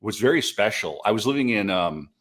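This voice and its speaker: A man's voice: fairly low pitch, lively intonation, a little fast, fairly bright tone. A middle-aged American man from North Dakota telling stories casually and enthusiastically on a podcast, rambling as he goes. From North Dakota, United States. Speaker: podcast speaker. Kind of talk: casual conversational storytelling.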